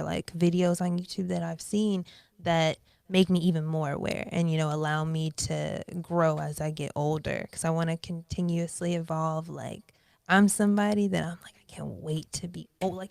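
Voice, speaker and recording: A woman in her twenties, a podcast guest, talking in a reflective, animated way with many fillers. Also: on the low side, creaky, no room sound. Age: 20s. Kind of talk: casual interview chat.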